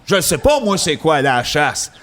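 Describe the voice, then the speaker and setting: A man with a Quebec French accent telling absurd comic stories in casual banter, halting and repeating himself as he goes. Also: a little high, sing-song, a bit bright.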